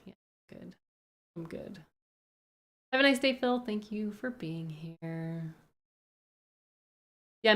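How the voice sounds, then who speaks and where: A woman's voice: fairly low pitch, a bit bright. A young woman with a Canadian accent, chatting casually on a live stream and answering viewer questions. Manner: rambling, thinks aloud with frequent hedges.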